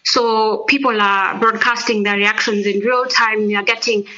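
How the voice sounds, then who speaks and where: A woman's voice: mid-range voice, steady tone, a little slow, bright, forceful tone, lo-fi sound. A woman sports psychologist explaining a topic in a casual, conversational way, often saying "like". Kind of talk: interview answer.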